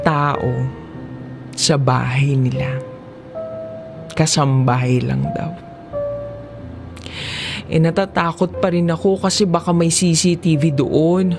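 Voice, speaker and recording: Filipino narrator for confessional drama audiobook: unhurried delivery, mid-range voice, clear musical bed underneath. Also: medium pitch, steady tone. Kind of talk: scripted first-person drama audiobook narration. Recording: music behind the voice. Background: background music.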